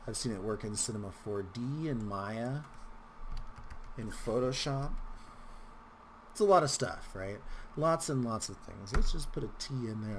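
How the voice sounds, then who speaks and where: A man with a mid-pitched voice and a gravelly, creaky edge talks through a software tutorial. His delivery is informal and rambling, dotted with wry asides. There is some noise behind him on the recording. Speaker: tutorial host.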